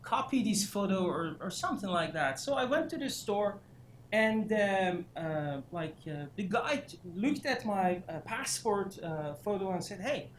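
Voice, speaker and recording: Iran-raised man lecturing in an echoey room. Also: high voice. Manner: halting, many fillers and restarts, animated asides. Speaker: career development instructor. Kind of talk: workshop lecture. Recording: echoey room, faint noise.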